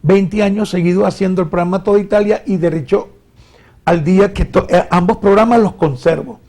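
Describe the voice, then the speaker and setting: A mature Venezuelan man talks as an interview guest and radio veteran. His voice is high for a man, husky and dark, with a mellow edge. He reminisces casually, halting and repeating himself in an agreeable way. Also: steady tone. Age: middle-aged or older.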